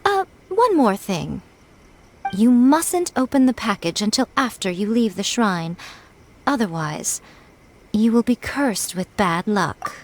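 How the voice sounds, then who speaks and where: A woman's voice: medium pitch, expressive, slow, slight background noise. A woman voicing a sly, teasing, elegant head of a shrine in a video game, playful and coy.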